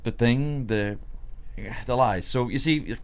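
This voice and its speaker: An actor reading male dialogue at a script table read in a halting, conversational way. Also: mid-range voice, clean tone, close to an everyday voice. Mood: awkward, evasive. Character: actor reading several male roles: hesitant teen, easygoing father, producer boss.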